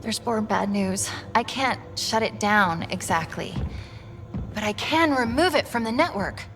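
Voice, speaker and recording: A woman playing a curious, chirpy young AI companion in a video game, playful and quick-witted. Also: mid-range voice, expressive. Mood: bright, curious. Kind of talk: cinematic video game acting. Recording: music behind the voice.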